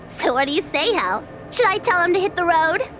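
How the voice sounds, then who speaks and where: A woman plays a young goddess of an audio drama, coy, devoted and teasing. Her voice sits very high and swings in lively arcs, over faint music. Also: normal speed, heavily acted.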